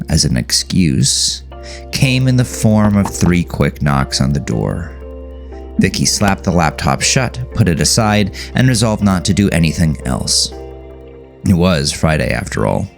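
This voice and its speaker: A man with an extremely low voice narrates scripted horror fiction. His tone is gravelly and full of creak, in the manner of a dramatic audiobook, with faint music behind him.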